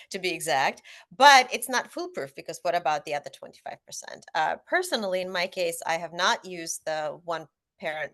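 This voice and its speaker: A woman's voice: fairly low pitch, a bit bright. A woman whose first language is Russian speaks as a guest author on a parenting panel, explaining things earnestly with frequent fillers and restarts. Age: adult parent. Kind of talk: casual panel discussion.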